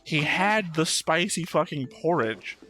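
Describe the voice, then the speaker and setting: A man hosting a reaction show, giving quick, wry commentary and theories. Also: on the high side, lively intonation, sharp tone, faint music behind.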